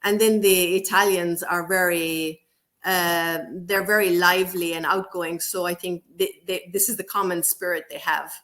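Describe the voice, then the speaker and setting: A woman's voice: on the low side, steady tone, normal speed, fairly bright tone. An Irish woman co-hosting a casual podcast chat, thoughtful and hedging as she explains. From Ireland. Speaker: co-host, teacher.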